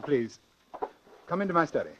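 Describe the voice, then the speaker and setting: A man's voice: a little high, very animated, a little hiss or hum. A man playing a coolly calculating, confident doctor obsessed with his theory, speaking precisely and formally in 1940s radio suspense style. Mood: smooth, persuasive. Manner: precise, formal, deliberate diction. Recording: dull old radio broadcast with music.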